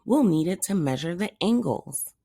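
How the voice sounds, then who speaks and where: A woman voicing a daring, cheeky small male hamster in a children's story, speaking excitedly. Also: fairly low pitch, moderate pace, breathy edge.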